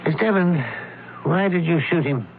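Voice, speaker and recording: A man playing a shrewd, composed doctor sleuth with a measured, probing, courteous manner in a radio drama. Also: a little high, close to an everyday voice. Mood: firm, accusing. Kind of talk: old-time radio drama acting. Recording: thin, noisy phone-like vintage radio recording.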